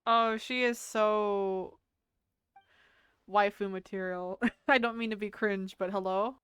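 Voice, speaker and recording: A woman's voice: medium pitch, steady tone, takes it slowly, a bit bright, clear, resonant tone, no room sound, studio quality. A woman reacting casually to a game, playful and giggly as she hosts. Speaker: gaming video host reacting to a story. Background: faint game music.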